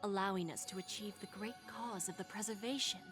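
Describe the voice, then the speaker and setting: A poised female power broker from a video game, played by an actress, speaks in a mid-range voice. She is composed, patient and seductively teasing, in unhurried scripted lines. Soft music sits faintly behind her. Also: mid-range voice, lightly acted. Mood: solemn, persuasive.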